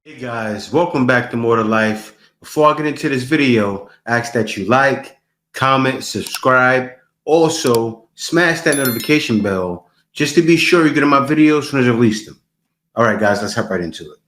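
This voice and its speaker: A man comments on clips for his channel, sounding incredulous and repeating his key words for emphasis. His voice sits at an ordinary middle pitch. It rings a little in an echoey room. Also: moderate pace, a bit bright, a little hiss or hum. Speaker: reaction video host.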